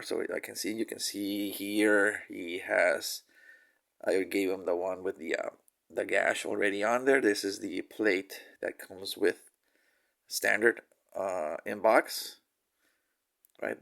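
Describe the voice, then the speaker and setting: Casual reviewer, male, slightly low voice, stuffy from a cold, lots of "uh" and restarts. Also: gravelly, fairly bright tone. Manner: halting, many fillers and restarts. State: nasal from a cold. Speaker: toy reviewer.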